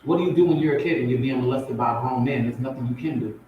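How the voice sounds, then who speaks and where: A man's voice: mid-range voice, quick pace, highs cut off. A man telling his own story informally as a guest poet, emphatic and repeating phrases for weight. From raised in Compton, California and Portmore, Jamaica. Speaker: spoken word poet, guest on a talk show. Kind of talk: personal testimony, informal storytelling. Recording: very echoey, distant, noisy audio.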